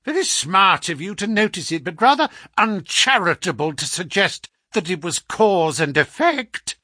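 A man's voice: high voice, very animated, normal speed, pushed and bright, no room sound, clean studio sound, voice pushed up, exaggerated voice. A man voicing a gloating, sneering villain in an audiobook story, with an insistent, mocking manner. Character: gloating, sneering villain visiting a sick enemy. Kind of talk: audiobook character acting.

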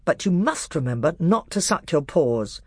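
Young storybook king, read by a narrator: noble, firm, courteous, grave but kindly, animated intonation. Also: mid-range voice, no room sound, studio quality, voice pushed up. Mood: kindly, patient. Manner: measured, grave, kindly authority.

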